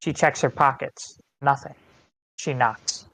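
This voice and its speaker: A reader giving plain, measured scripted narration of screenplay stage directions. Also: medium pitch, steady tone, creaky, fairly bright tone, no echo, low-quality recording. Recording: online meeting, close and dry.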